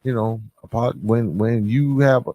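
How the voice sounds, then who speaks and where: A man's voice: medium pitch, steady tone, measured pace, lo-fi sound. A man hosting a podcast, speaking in a conversational, motivational way and addressing listeners directly, leaning on words for emphasis. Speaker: podcast host.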